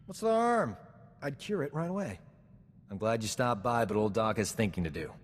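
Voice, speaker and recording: An actor playing a vain, flirtatious, smooth-talking doctor in a video game. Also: male voice, mid-range voice, animated, moderate pace, long reverb tail, lightly acted. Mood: scheming. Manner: cocky, self-admiring, smooth-talking. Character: vain, flirtatious male doctor, boastful charming rogue. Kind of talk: video game cutscene acting.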